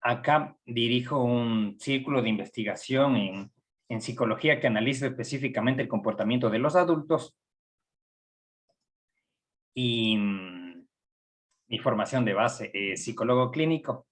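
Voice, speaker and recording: A middle-aged Ecuadorian man teaches a university training session, speaking in a measured, patient way. He pauses often and fills gaps with short hesitations. His voice sits at an ordinary middle pitch. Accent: Ecuadorian Spanish. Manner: measured, with frequent hesitations and fillers. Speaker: psychology professor giving a training session. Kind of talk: recorded online lecture.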